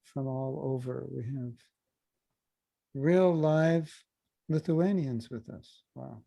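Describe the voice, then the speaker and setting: A man teaching over a video call, his voice low-toned and dark with a gravelly edge. He talks in an easy, joking way, teasing his listeners as he answers questions. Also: slow. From United States. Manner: humorous, teasing, easygoing. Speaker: spiritual teacher.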